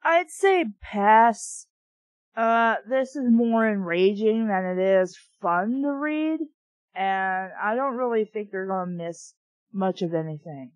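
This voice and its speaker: A woman's voice: medium pitch, lively intonation, slow. A woman reading a storybook aloud and breaking off into snarky, exasperated, profane commentary. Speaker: reader and book reviewer. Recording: dull, echoey room recording.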